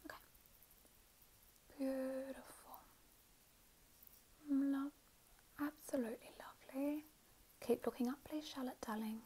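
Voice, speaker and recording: A woman playing a doting, gushing mother in a soft, often whispered ASMR roleplay, full of soothing endearments. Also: on the high side, slight background noise, lightly acted. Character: doting, gushing, over-emotional mother doing her child's makeup.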